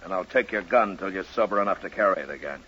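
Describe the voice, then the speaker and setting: An actor playing a stern, weary U.S. Marshal with a terse, commanding manner in a 1950s radio western. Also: male voice, sits low, quick pace, a bit bright, husky. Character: stern, weary male U.S. Marshal of the Old West. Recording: muffled old 1950s radio recording.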